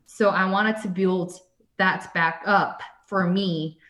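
A woman's voice: lowish voice, fairly even intonation, slow, fairly bright tone. Chatty woman, echoey room. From United States. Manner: rambling, lots of "like" and "um" fillers, laughs often. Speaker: guest artist. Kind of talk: casual interview chat.

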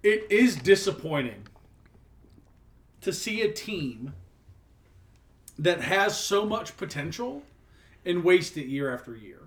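A young man with a slightly raised pitch, co-hosting a casual sports podcast. He ribs his co-host, then talks earnestly about what makes sports stories matter. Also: big pitch swings, takes it slowly, long pauses, a bit bright. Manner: teasing, then earnest and reflective.

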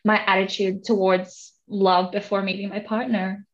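A woman's voice: mid-range voice, flat delivery, moderate pace. Young woman, Hong Kong English accent, giggly guest chatter, slightly dull audio. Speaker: podcast guest. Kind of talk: casual interview chat.

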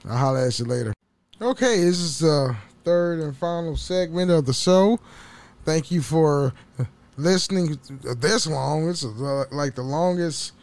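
A man hosting a casual solo podcast, rambling and swearing with frequent laughs, his voice a little hoarse. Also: on the high side. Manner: rambling, profane, laughs often. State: slightly hoarse from waking up. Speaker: podcast host.

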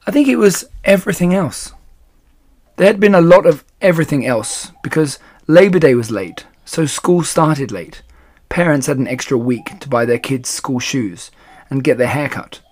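A man reading a children's storybook aloud in an expressive style. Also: mid-range voice, normal speed. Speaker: storybook reader.

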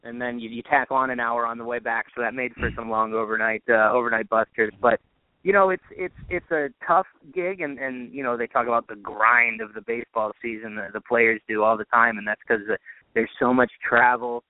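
A man's voice: medium pitch, steady tone, fairly quick, no echo. A man, a radio sports announcer, giving conversational interview answers as a guest over a narrow phone line.

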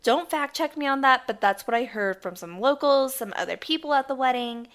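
A woman in her twenties co-hosting a podcast, a bit hoarse from losing her voice, telling stories in a chatty way and laughing often. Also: high voice, animated, a little fast, fairly bright tone. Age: 20s. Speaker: podcast co-host. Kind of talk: casual chat.

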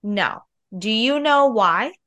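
A woman's voice: a little high, animated, takes it slowly, a bit bright. A woman in her thirties co-hosting a podcast, nasal and sick with a cold, chatting in a confiding storyteller way. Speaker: podcast co-host. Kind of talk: casual chat.

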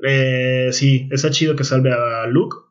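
A young man with a Mexican Spanish accent, co-hosting a podcast in casual chat, animated and slangy, often restarting his sentences. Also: medium pitch, slow. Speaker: podcast co-host. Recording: dull lo-fi podcast audio.

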